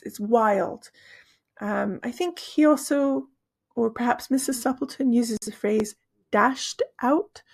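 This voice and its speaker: A woman teacher with a hoarse, gravelly voice walks through story vocabulary at a patient, explanatory pace. Her tone is husky and rough, sitting a little high for a woman. She pauses and restarts often as she explains. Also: darkish tone. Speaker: English teacher.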